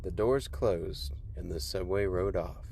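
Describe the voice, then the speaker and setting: A man reading creepy stories as a podcast host, in scripted narration with measured, deliberate phrasing and dramatic pauses. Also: deep voice, vocal fry, dark tone, slight background noise. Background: faint music.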